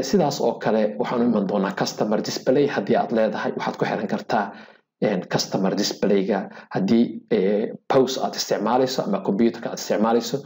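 A man teaching a hotel management program over a screen recording, speaking haltingly with many filler sounds and English technical terms mixed in. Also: medium pitch, darkish tone. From Somalia. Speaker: software instructor. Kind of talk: screen-recorded software tutorial.

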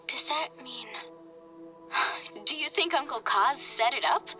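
A woman's voice: high voice, takes it slowly, sharp tone, soft background music, exaggerated voice. An actress playing an earnest, caring young woman in a video game drama, with a hesitant, heartfelt delivery. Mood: wary, puzzled. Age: young adult.

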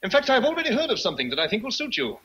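Casting: brooding British master of the house, bright forceful voice, slightly rough, theatrical period radio drama. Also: male voice, sits high, huge pitch swings, dull audio, full character voice. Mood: mock brisk. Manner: teasing, theatrical, deliberate. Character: brooding, teasing gentleman master in love with his governess.

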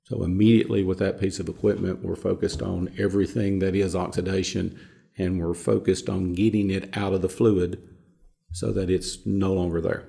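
A gravel-edged, mellow man in middle age speaks from deep in his range. He explains technical matters in a panel discussion, stressing points by repeating words. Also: flat delivery, normal speed. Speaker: filtration subject matter expert. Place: small conference room, indoors.

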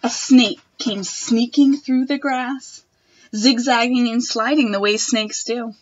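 A young woman reading a picture book aloud to children in a gentle, expressive manner. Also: a little high, takes it slowly, dry recording, muffled recording. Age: young adult. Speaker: storytime reader. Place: wood-panelled room at home.

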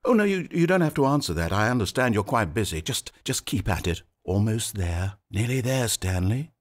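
A man's voice: lowish voice, very animated, normal speed. A man voicing a sarcastic, theatrical narrator in a video game, swinging between dry wit and grand rapture. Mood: coaxing. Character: wry, theatrical male narrator of a game, adult.

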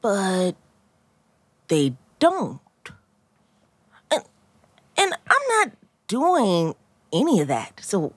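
A woman voicing a skeptical teenager in a comedy sketch, dry, hesitant and deadpan. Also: mid-range voice, extremely slow, pauses often, dry recording, full character voice. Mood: doubtful. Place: podcast studio. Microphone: close studio microphone.

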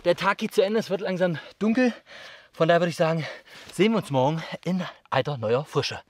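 A man's voice: high voice, fairly quick, dry recording. A man in his thirties chatting casually to viewers while building outdoors in a misty forest, picked up by an action camera, puffing now and then from the work. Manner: chatty, addresses viewers directly, upbeat. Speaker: survival vlogger. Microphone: action camera mic at a distance.

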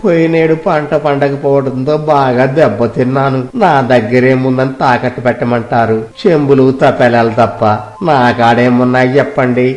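A man's voice: medium pitch, fairly even intonation, moderate pace, a little mellow, voice pushed down, natural voice. A man voicing a poor, humble village farmer in a story, speaking meekly and deferentially as a pleader. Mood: humble pleading. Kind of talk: scripted Telugu moral story dramatization. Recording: roomy echo, music behind.

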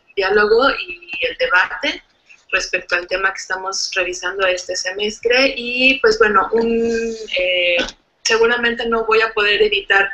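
A woman's voice: mid-range voice, pushed and bright. A young woman recording a presentation at home on her webcam, apologetic and chatty with many fillers. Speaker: student presenter. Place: home room. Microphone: webcam close up. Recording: dull lo-fi webcam audio with faint noise.

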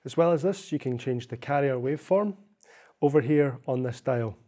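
A young man narrating a software tutorial in a measured, explanatory way. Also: medium pitch. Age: young adult. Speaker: software demonstrator.

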